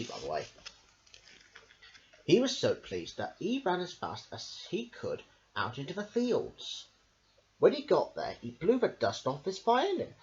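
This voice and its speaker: A man reading a children's picture book aloud in a lively sing-song storytelling voice, breaking off now and then for casual asides. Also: medium pitch, dull audio, a little hiss or hum.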